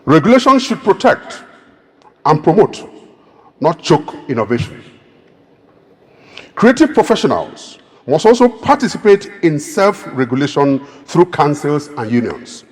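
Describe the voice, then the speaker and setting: A Nigerian man with a clear Igbo accent addresses an audience in an emphatic, oratorical style, building each point to a rousing peak. His pitch rises and falls in wide, animated sweeps. His voice carries the long reverb of a large hall. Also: a little slow. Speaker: keynote speaker. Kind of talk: public speech.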